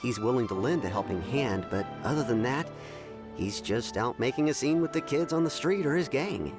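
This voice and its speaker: A man plays a watchful, reserved man who keeps track of the city and weighs his words. His mid-pitched voice moves in a lively way, and game music is clearly heard behind him. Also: normal speed, lightly acted. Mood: thoughtful. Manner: thoughtful, polite and reserved. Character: measured, observant man keeping an eye on the city. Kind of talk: video game voice acting.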